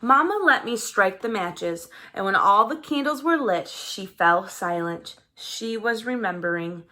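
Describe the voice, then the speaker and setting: A woman reading a children's story aloud in an expressive, dramatized way. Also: mid-range voice, unhurried. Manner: animated, dramatized delivery with character voices. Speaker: storybook reader.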